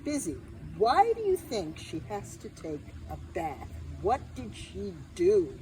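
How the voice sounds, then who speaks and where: Older female, lowish, lively swooping pitch, unhurried; recorded outdoors from a distance with clear noise. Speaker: children's book author. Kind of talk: presenting her book to children. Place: outdoors in a grassy garden. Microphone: far camera or phone.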